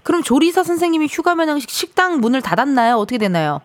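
A woman in her thirties hosting a casual radio show in a studio, chatting with listeners in a playful, teasing, animated manner. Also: high voice, fast, no echo. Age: 30s. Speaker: radio host. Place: broadcast studio.

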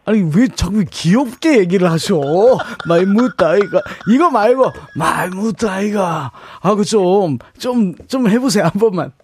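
A man co-hosting a radio show, coaching a caller on pitch and diction with emphatic demonstrations and frequent laughs. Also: animated, a little slow, few pauses, a little mellow, husky. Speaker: radio co-host and vocal coach.

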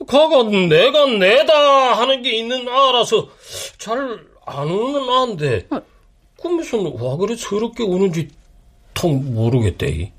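A gruff old grandfather with a thick Gyeongsang accent, husky and blunt. His voice sits high and swings widely in pitch, full of teasing grumbles. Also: big pitch swings, measured pace, fairly bright tone, exaggerated voice. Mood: grumbling. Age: older. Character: old grandfather with heavy dialect, stubborn and gruff.

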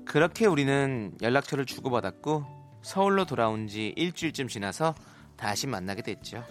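A man's voice: medium pitch, lively intonation, moderate pace, faint music behind, lightly acted. A man voicing a blunt, easily flustered woman of thirty-two in a radio story reenactment. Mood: wistful. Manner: flustered, prim, indignant. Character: blunt, easily flustered 32-year-old single woman telling her story.

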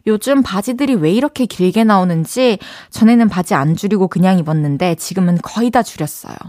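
A woman's voice: medium pitch, a little mellow, no room sound. A woman radio DJ with a southeastern Korean dialect, chatting and laughing as she reads listener messages in a studio. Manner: chatty, friendly, laughs often. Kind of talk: reading and answering listener messages. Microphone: studio microphone.

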